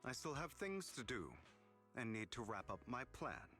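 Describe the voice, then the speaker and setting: An actor playing a proud, scheming young gentleman thief in a video game, speaking in formal, eloquent lines with dramatic delivery. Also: mid-range voice, very animated, moderate pace, faint music behind. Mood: sly, composed.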